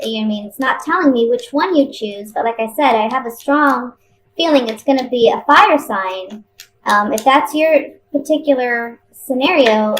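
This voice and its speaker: A woman giving a casual, improvised tarot reading to viewers, talking conversationally and thinking aloud with pauses and repeats. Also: a little high, slight background noise, rough recording. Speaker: tarot card reader.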